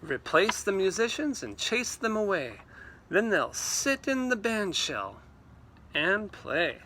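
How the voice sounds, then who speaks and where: A man reading a storybook aloud to children in an animated, theatrical way, with exclamations and asides. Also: high voice, slow, a little hiss or hum. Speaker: read-aloud teacher.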